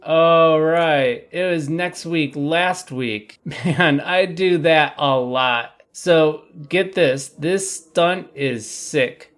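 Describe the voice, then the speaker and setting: Laid-back, dim stunt-bro slacker. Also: male voice, a little high, very slow, hardly any pauses, fairly bright tone. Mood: breezy, oblivious. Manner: slangy, easygoing slacker drawl. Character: laid-back, dim, reckless surfer-bro stunt coordinator, younger brother. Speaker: actor in audio drama. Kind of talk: comedy audio drama acting.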